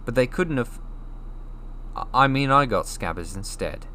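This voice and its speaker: A man voicing a glum, animated young boy wizard. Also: medium pitch, a little slow, slight background noise, pitched above the performer's own voice. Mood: glum, embarrassed. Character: young boy wizard, glum, self-deprecating, eager to impress.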